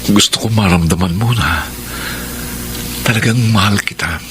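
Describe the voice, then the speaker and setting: An actor playing an ardent, smooth-talking young suitor in a radio drama, earnest and pleading. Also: male voice, deep voice, normal speed, close to an everyday voice. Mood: ardent, sincere. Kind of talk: Filipino radio drama acting. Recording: noisy background behind the voice.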